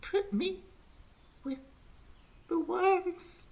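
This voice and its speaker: A woman's voice: very high voice, very slow, vocal fry, a little mellow, exaggerated voice. A woman playing a naive, earnest young male royal heir with a plaintive manner in an improvised comedy radio play. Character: Davis, naive childlike royal heir, a brother-cousin.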